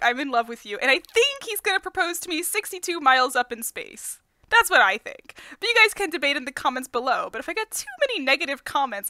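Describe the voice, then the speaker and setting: Woman playing a high, bright, lovesick male vlogger. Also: no echo, full character voice. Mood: cocky, threatening. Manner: gushing, rambling, breaking into laughter. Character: giddy, lovesick, slightly unhinged male science vlogger, obsessed with boyfriend. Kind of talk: improvised parody fandub of a vlog.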